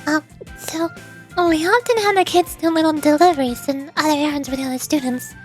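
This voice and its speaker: Young female kindergarten instructor, played by a woman streamer, high, innocent, earnest game character. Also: a little fast, faint music behind, lightly acted. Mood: earnest, hesitant.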